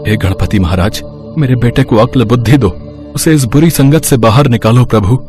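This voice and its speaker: A man's voice: mid-range voice, moderate pace, music in the background, lightly acted. A man playing a stern, devout middle-aged father in a Hindi moral story, earnest, dramatic and pleading.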